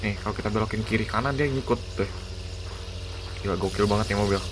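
A man giving excited, slangy gameplay commentary as a gaming YouTuber. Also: on the low side, fast talker, noisy background. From Indonesia. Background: background music.

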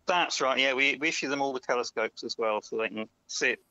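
Bright man on a lo-fi call. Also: medium pitch, no room sound. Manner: easygoing, anecdotal, slow fillers. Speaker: caller. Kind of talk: casual chat. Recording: dull lo-fi call line.

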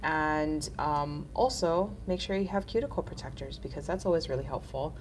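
A woman's voice: sits low, moderate pace, noisy background. A woman in her thirties hosting a casual live nail art tutorial, chatty and upbeat, sounding nasal from a cold.

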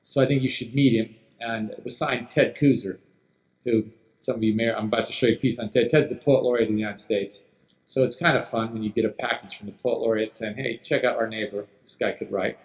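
A middle-aged man giving a conference keynote from a podium microphone on a dark stage, talking casually with many fillers. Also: medium pitch, fairly even intonation, fairly quick, rough recording. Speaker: keynote speaker. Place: dark stage in a large hall.

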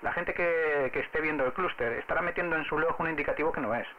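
A man's voice: fairly high pitch, fast, dull audio, a little hiss or hum. A man with an accent from Spain, an amateur radio operator explaining things in casual on-air conversation. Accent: Spanish from Spain.

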